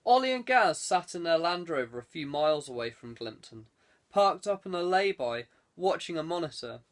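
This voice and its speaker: A man narrates a thriller audiobook. His voice sits somewhat high for a male reader, and he delivers scripted prose as a storyteller. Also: fairly bright tone.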